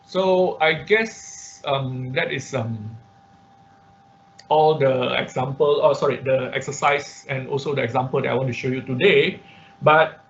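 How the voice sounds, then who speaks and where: A man teaches an informal live online tutorial, explaining haltingly with repetition and frequent check-in questions. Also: medium pitch, a little hiss or hum. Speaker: instructor of an online robotics class. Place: online class call. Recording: dull, muffled online-class audio.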